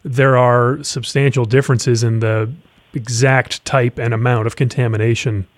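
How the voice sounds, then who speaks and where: A man's voice: medium pitch, little pitch movement, moderate pace, no echo. A man hosting a podcast interview, asking conversational questions in a tentative, carefully qualified way.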